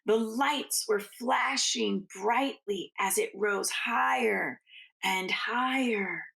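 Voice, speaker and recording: A woman reads a picture book to children in an unhurried, creaky voice. She stretches out key words for emphasis and slips into soft, casual asides. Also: on the high side, low-quality recording. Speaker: teacher reading a picture book aloud to children. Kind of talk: expressive read-aloud storytelling with casual asides.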